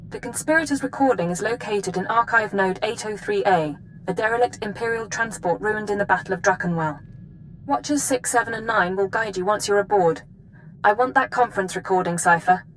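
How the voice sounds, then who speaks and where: Woman acting female spymaster, crisp, authoritative, medium pitch, faint background noise, video game briefing. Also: a little fast, lightly acted, rough recording. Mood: grave briefing. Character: brisk female Imperial intelligence chief giving mission briefings.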